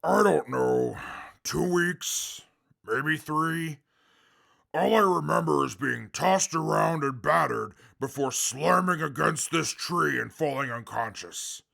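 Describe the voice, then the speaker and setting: Huge mutant brute for a radio drama: very gravelly, slow, indignant and formal. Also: male voice, fairly high pitch, lively intonation, exaggerated voice. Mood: gruff, grumbling. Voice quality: gravelly, forceful. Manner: formal, blustering, indignant speech. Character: big green indestructible mutant brute, pompous, short-tempered, hungry. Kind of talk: post-apocalyptic audio drama acting.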